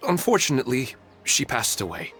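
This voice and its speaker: An actor playing a polite, gentle and wistful man in a video game, speaking earnestly and a little hesitantly. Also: medium pitch, very animated, fairly quick, noisy background, close to an everyday voice. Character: gentle, wistful widowed man seeking dream advice. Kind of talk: video game character dialogue.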